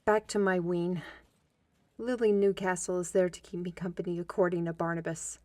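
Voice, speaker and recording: A woman's voice: on the low side, little pitch movement, normal speed, dark tone, no room sound, lightly acted. A woman playing a weary, guarded agent with a dry wit in an audio drama. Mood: weary, brooding.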